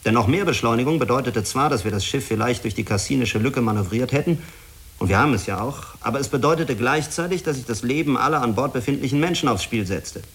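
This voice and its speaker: Male spaceship commander for science fiction radio drama: sober, stern, self-critical, middle-pitched. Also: animated, quick pace, a bit bright. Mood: reflective, insistent. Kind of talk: German radio drama acting.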